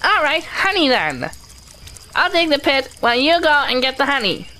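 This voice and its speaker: A woman voicing a small, timid, eager young pig in an audio drama, fussy and quick to chime in. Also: sits high, very animated, sharp tone, slight background noise, full character voice.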